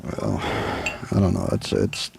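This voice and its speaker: An actor playing a laconic, tough American frontier marshal in a Western radio drama, with a halting, folksy drawl. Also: male voice, low voice, little pitch movement, gravelly, exaggerated voice. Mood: easygoing.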